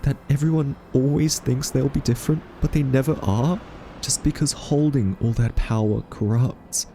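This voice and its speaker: A man playing an earnest, quirky scientist in a roleplay audio drama, soft-spoken, hesitant and argumentative as a thoughtful captive. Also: mid-range voice, steady tone, normal speed, slight background noise, natural voice. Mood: skeptical, reproachful.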